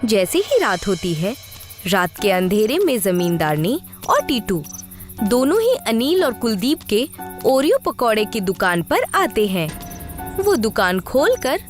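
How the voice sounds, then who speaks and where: A woman's voice: a little high, very animated, a little slow, soft background music. A woman narrating a scripted story in a storybook style.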